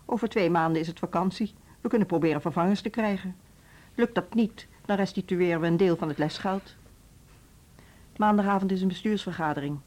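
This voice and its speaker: Actress as a cool, strict school director, lowish voice, curt and businesslike. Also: female voice, brisk, darkish tone, lightly acted. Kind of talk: radio drama acting.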